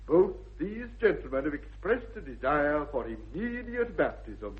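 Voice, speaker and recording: A man's voice: fairly high pitch, sing-song, measured pace. An actor playing a mild, unctuous country clergyman with an English accent, pedantic and formal, in a radio comedy. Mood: solemn, obliging. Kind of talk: 1960s British radio comedy acting. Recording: dull vintage radio recording.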